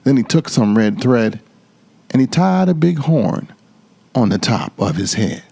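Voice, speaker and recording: Male storyteller for children's book, theatrical emphasis, very expressive pitch, unhurried delivery. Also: creaky, breathy edge. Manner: theatrical, drawn-out emphasis and repetition. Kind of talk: dramatic children's storybook reading.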